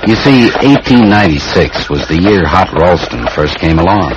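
A man's voice: low-pitched, animated, normal speed. A man reading a scripted advertisement as an old-time radio announcer. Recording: muffled, very noisy old radio recording.

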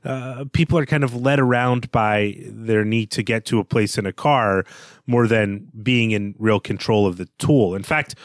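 A man co-hosts a literary podcast and works through his reading of a novel. He talks in a measured, analytical way. The recording is dry, with no room echo. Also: on the low side. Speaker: podcast co-host.

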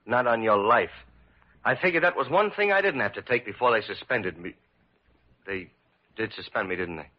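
A proud, bitter young American intern in an old radio drama. He is curt and defiant, with a lively range, on a dull recording. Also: on the low side, moderate pace, long pauses. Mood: bitter, sullen.

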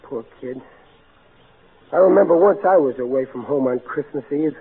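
An actor playing a blustering, soft-hearted working-class American family man, folksy and bumbling, in an old-time radio comedy. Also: mid-range voice, normal speed, creaky, breathy edge. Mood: sympathetic. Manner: folksy, bumbling, exasperated, ungrammatical. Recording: tinny, noisy vintage radio recording.

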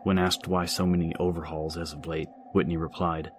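A man narrating an audio story, reading out newspaper reports in a measured, scripted news style. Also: deep voice, flat delivery, a little hiss or hum. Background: music.

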